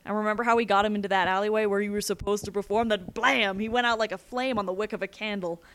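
A woman's voice: medium pitch, animated, a little fast, close to an everyday voice. A woman voicing a genial but sinister male villain, a master of assassins, with a breezy, falsely kindly manner. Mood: gleeful, sinister.

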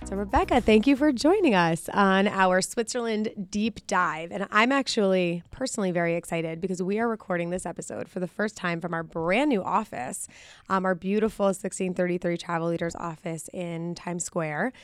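A woman hosts a travel podcast in a chatty, easygoing way, often filling pauses with ums and you-knows. Her voice is smooth and clear. The sound is dry and close, with no room echo. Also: on the low side. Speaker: podcast host. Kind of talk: casual podcast chat. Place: office studio.

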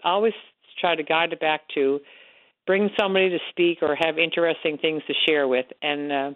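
A woman with a Newfoundland accent calling in to a radio show, loud-voiced and frank, talking on and on. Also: sits low, fairly even intonation, moderate pace, no echo. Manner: frank, talkative storyteller. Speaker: caller, support group organizer. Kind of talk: radio call-in chat. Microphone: telephone. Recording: tinny phone line.

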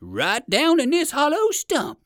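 A woman voicing a sly, hungry big bear in a children's storybook, coaxing with quiet menace. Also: high-pitched, huge pitch swings, dry recording, studio quality, pitched above the performer's own voice, exaggerated voice. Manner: slow, coaxing, menacing salesman.

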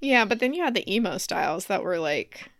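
A woman's voice: mid-range voice, very animated, brisk, gravelly, a bit bright, no room sound, clean studio sound. A woman co-hosting a podcast in casual chat, with a playful, giggly manner. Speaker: podcast co-host.

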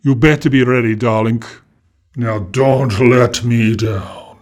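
A man's voice: medium pitch, steady tone, slow pace, a little mellow, lightly acted. A man doing a creepy, sweetly menacing doll-collecting lady. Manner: theatrical, sweetly menacing, calls people darling. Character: creepy glamorous woman who collects dolls. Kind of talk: children's audio story acting.

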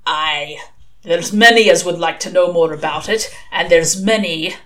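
Woman acting a gruff, ranting old witchfinder sergeant. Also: takes it slowly, a bit bright. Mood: grave, brooding. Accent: unplaceable mixed British regional. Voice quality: rumbling, gruff. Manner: ranting, booming, archaic dialect. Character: testy, pompous, zealous old male witchfinder sergeant.

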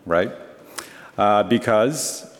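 A man's voice: on the low side, very slow. A man whose first language is Chinese giving a playful, teacherly talk to a congregation, often checking in with the listeners. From United States. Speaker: congregation speaker. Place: large church hall. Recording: long reverb of a hall.

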